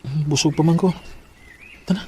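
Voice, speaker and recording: An actor playing an evasive married boyfriend in a radio drama, with a Cebuano Filipino accent. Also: male voice, a little high, normal speed, a little mellow, husky. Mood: casual. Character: apologetic married boyfriend hiding his wife.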